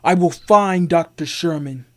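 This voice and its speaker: Man playing a slow, menacing scientist villain. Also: on the high side, natural voice. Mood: vengeful. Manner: deliberate, menacing. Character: vengeful, calculating male mad-scientist villain. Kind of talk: old-time radio villain acting.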